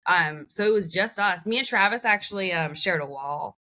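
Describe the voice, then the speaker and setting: A woman's voice: on the low side, normal speed, pushed and bright. A woman from Hong Kong, a band member guesting on a podcast, talking wryly and laughing often. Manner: wry, self-deprecating, laughs often. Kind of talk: casual interview chat.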